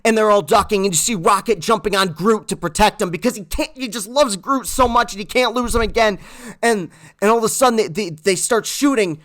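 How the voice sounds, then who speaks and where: A man reviewing a film in a casual, excited, rambling storytelling way, swearing freely as he goes. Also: high-pitched, brisk. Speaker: movie reviewer.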